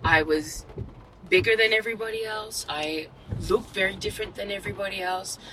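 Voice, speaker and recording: A woman's voice: mid-range voice, a little fast. Young woman telling stories over noise. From Australia. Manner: candid, animated storytelling with many fillers and restarts. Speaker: actor and writer. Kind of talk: casual interview chat.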